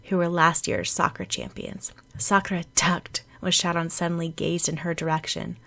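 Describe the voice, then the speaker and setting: A woman's voice: on the low side, level tone, normal speed, vocal fry, fairly bright tone. A woman narrating a story expressively as a scripted audiobook.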